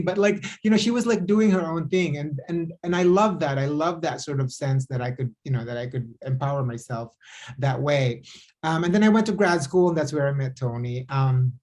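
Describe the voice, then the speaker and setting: A man's voice: on the high side, darkish tone. A man from the Philippines, a theater and film designer telling his story on a panel, rambling and restarting often. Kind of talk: panel talk over video call.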